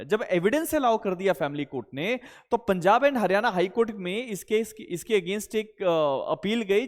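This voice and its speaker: Man, Indian accent, high-pitched voice, didactic law lecturer, question-and-answer explaining, English legal terms, long reverb.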